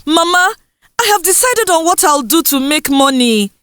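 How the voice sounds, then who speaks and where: Woman acting high-pitched, emotional, determined village girl. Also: expressive, normal speed, fairly bright tone, no room sound, clean studio sound. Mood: hopeful, determined. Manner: pleading, emotional. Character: determined, tearful teenage village girl, pleading and defiant.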